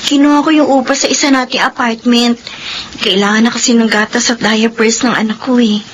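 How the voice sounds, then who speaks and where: A woman's voice: a little high, fairly even intonation, fairly quick, a little hiss or hum, close to an everyday voice, rough recording. An actress playing a combative young mother and elder sister in a Filipino radio drama. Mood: defensive. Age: young adult.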